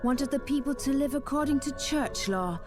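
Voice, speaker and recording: A calm forest witch. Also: sits high, normal speed, over music. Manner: calm, explaining. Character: young woman, a hermit witch, calm and friendly. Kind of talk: game cutscene voice acting.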